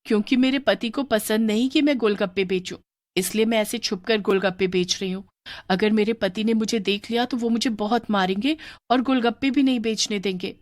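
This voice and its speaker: A woman's voice: medium pitch, fairly quick, dry recording, studio quality, natural voice. A woman voicing a long-armed female witch who poses as a kindly snack seller, coaxing and sweet.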